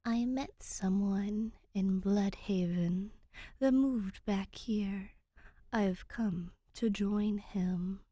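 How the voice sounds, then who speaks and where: A woman voicing a polite, wary young female ghost royal in a fan fiction reading, speaking formally and courteously in a hushed voice. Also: medium pitch, very slow, no room sound. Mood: earnest, formal. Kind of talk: fan fiction audiobook character voice.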